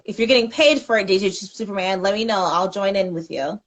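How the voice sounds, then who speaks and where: A woman's voice: mid-range voice, brisk, low-quality recording. A woman interviewing in a casual livestream chat, with an informal, playful way of talking.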